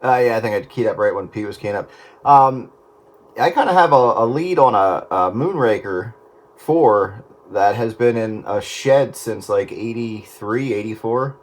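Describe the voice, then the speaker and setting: A man's voice: fairly low pitch, lively intonation, unhurried. A man chatting casually over two-way radio as a hobbyist. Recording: two-way radio with faint noise.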